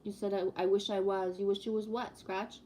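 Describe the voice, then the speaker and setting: A woman's voice: mid-range voice, little pitch movement, a little fast, warm tone, slight background noise, rough recording. A woman in her fifties hosting a livestream, chatting casually and greeting viewers while talking about spiritual topics. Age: 50s. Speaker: livestream host.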